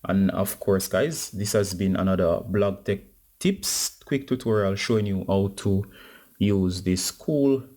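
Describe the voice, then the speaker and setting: A man's voice: sits low, unhurried, mellow. A man hosting a casual step-by-step phone tutorial, speaking informally and instructively to his viewers. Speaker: tech tutorial host.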